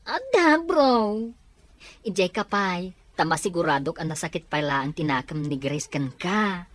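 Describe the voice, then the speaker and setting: A woman voicing a patient male friend who calmly explains and reassures. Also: lowish voice, a little slow.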